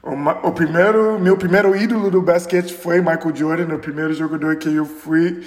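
A forty-year-old man from Chicago with an American accent, a native English speaker, reminiscing casually as a podcast guest with halting, non-native grammar. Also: fairly high pitch, steady tone. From Chicago, United States. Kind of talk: casual recollection in interview. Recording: echoey, reverberant room.

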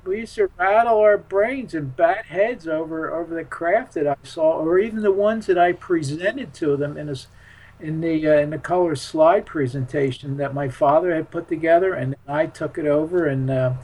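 An American man in his seventies with a fairly high-pitched voice. He talks as an interview guest, reminiscing in a loose, halting ramble. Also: darkish tone, rough recording. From Philadelphia, United States. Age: 70s. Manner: rambling, halting, chuckles while talking. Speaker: interview guest, UFO witness.

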